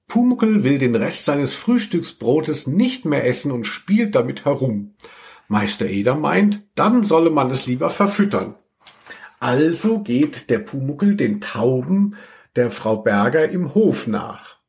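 A man's voice: medium pitch, a little mellow. Man chatting casually on a podcast. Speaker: podcast host.

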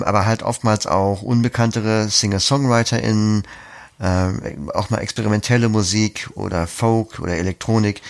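A man's voice: lowish voice, vocal fry. A man giving articulate, reflective, explanatory answers as an interview guest.

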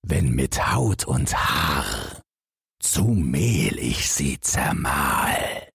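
A huge, boastful giant out of a fairy tale, acted out by a male reader, thirsting for blood. The voice is low and very husky. He booms out his threats slowly and with menace. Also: sing-song, fairly bright tone, dry recording, studio quality, heavily acted. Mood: menacing, boastful. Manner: booming, slow menacing delivery. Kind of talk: fairy-tale audiobook character.

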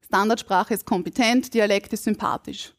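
A woman from Burgenland with an Austrian German accent, a linguist on a live podcast stage, explaining in a measured, academic way. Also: medium pitch, fairly even intonation. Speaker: guest linguist. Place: stage before a live audience.

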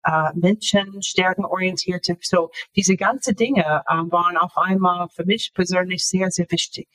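A woman in her fifties, an author talking casually as an interview guest, halting and searching for words with many fillers. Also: lowish voice, steady tone, a bit bright, rough recording.

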